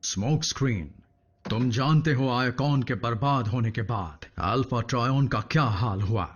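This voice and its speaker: A grave cartoon robot leader, acted by a man, formal and authoritative. The pitch is medium and the phrasing measured, on a slightly dull lo-fi recording. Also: husky, close to an everyday voice. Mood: grave, courteous. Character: grave, trusting male robot commander. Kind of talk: animated series dub acting. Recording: dull, lo-fi dub audio.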